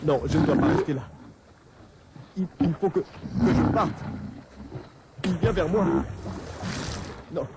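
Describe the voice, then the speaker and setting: A man's voice: fairly high pitch, a little slow, pauses often, natural voice. An actor playing a frightened man hunted by an undead creature in a radio drama, anxious, stammering and repeating himself. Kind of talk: radio horror drama acting. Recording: clearly noisy radio drama track.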